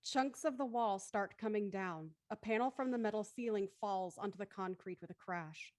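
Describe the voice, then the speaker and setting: A woman's voice: medium pitch, normal speed, a little mellow, dry recording, natural voice. A woman acting as the intent narrator of an audio thriller, reading dramatic scripted narration. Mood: intent storytelling.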